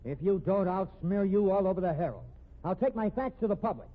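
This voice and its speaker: An actor in a 1950s radio mystery playing an indignant, scheming newspaper reporter who demands and needles. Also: male voice, high voice, animated, fairly quick. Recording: tinny band-limited old radio recording.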